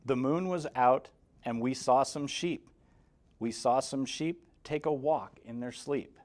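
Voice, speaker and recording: A man in his forties reading a rhyming children's picture book aloud, expressively, in a measured sing-song with clear emphasis. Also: mid-range voice, darkish tone. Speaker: guest story reader for kindergarteners. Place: indoor room, seated in front of a banner. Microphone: lapel mic.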